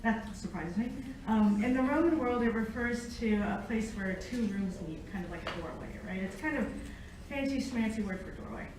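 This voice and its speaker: A woman's voice: fairly high pitch, lively intonation, normal speed, crowd noise. A middle-aged American woman giving an informal academic lecture, conversational and engaging her listeners directly. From United States, born in Japan. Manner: conversational, engages the audience with questions. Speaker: academic guest speaker, Latin researcher. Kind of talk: informal public lecture with personal storytelling. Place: echoey indoor lecture room. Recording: echoey room, distant mic, faint noise.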